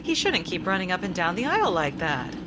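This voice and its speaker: Wry sensible wife played by a woman, expressive pitch, dry gentle scolding, old radio comedy, very noisy. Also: brisk. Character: wry, sensible, suspicious doctor's wife and mother. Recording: very noisy recording.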